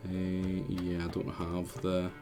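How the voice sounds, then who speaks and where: A man's voice: sits low, monotone, slow pace, music in the background. Scottish man chatting while gaming. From Scotland. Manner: chatty, muttering to himself. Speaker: streamer. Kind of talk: casual gameplay commentary.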